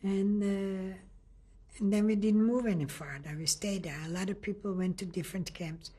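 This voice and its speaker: An older woman from Lithuania giving an oral history testimony in a halting way, often restarting and searching for words. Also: medium pitch, steady tone, mellow, husky. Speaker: interviewee.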